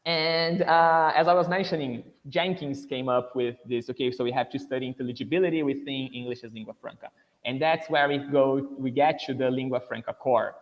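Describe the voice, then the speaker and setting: A man's voice: medium pitch, a little fast, big-room sound, low-quality recording. A man from Brazil with a Brazilian Portuguese accent, an English teacher giving a conversational webinar lecture full of "you know" and "right".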